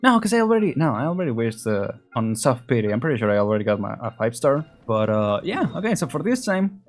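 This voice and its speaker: A man's voice: medium pitch, very animated, fast, soft background music. A man giving casual, slangy playthrough commentary as a gaming host, with informal muttered reactions.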